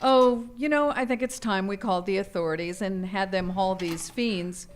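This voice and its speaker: An actress playing a plucky, boastful wife and martial-arts heroine, theatrical and cocky, in a live radio drama. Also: female voice, medium pitch, moderate pace, close to an everyday voice. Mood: matter-of-fact. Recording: live stage recording, faint noise.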